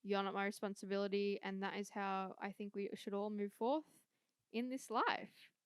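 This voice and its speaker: A woman's voice: medium pitch, fairly even intonation, moderate pace, clear, resonant tone, dry recording, studio quality. A young woman co-hosting a casual podcast, chatty, swearing freely and using lots of fillers. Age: young adult. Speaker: podcast co-host. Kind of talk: casual chat.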